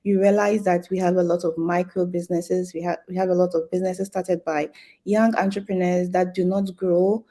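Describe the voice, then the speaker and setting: A woman from Ghana speaking on a business panel, explaining her points in a measured way with frequent fillers. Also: on the low side, level tone, dark tone. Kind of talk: panel discussion.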